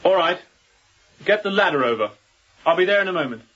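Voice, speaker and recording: An actor playing a composed, courteous young sea captain in a radio drama, speaking in a measured, polite tone with quiet authority. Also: male voice, high voice, animated, highs cut off. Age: young adult.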